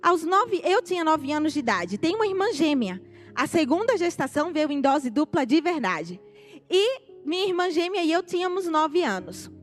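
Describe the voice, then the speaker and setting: A woman in her forties with a bright, high Northeastern Brazilian accent gives a missionary testimony from a church platform. She addresses the congregation directly and asks them to raise their hands. Soft music plays faintly behind her in a live church recording. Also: big pitch swings, normal speed. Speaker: missionary speaker. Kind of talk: testimony sermon. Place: church sanctuary.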